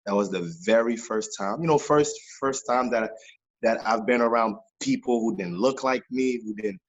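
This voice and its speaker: A man from Haiti, a pastor and music missionary, talking in an animated interview with many fillers and repeated phrases. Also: medium pitch, normal speed, highs cut off. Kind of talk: casual interview testimony. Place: New York based, remote interview.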